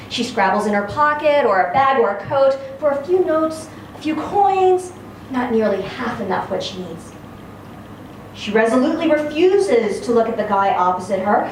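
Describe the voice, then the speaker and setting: A woman giving a live dramatic reading of a literary story, phrasing it expressively and deliberately with pauses. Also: on the high side. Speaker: story reader. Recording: very echoey room, distant mic, faint noise.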